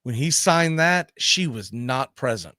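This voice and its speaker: A man's voice: mid-range voice, lively intonation, measured pace, bright, forceful tone, no room sound. Emphatic male sports talk host, close dry sound. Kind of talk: baseball history commentary on a live talk show.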